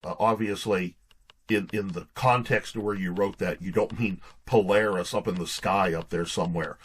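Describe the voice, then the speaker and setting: A man's voice: low-pitched, steady tone, moderate pace, a little hiss or hum. A man speaking as a guest author in an interview, conversational, often restarting and repeating his words as he goes.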